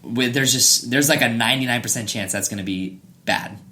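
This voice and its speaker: Man, podcast host, medium pitch, casual chat, opinionated, conversational. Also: brisk, sharp tone. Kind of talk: casual chat about games and movies.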